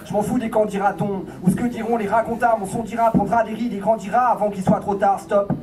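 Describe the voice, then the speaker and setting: Guy rapping slam quick, tongue-twisty, high voice, echoey with a beat behind. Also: flat delivery. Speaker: slam poet. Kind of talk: rapped, wordplay-heavy slam. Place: live slam session venue. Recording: echoey, distant, music or beatboxing behind.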